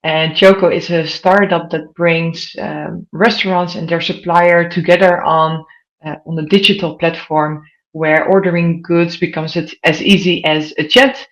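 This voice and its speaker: A woman's voice: fairly low pitch, little pitch movement, measured pace, low-quality recording. A woman in her thirties from the Netherlands with a Dutch accent, giving an online conference presentation and putting questions directly to her audience. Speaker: conference speaker.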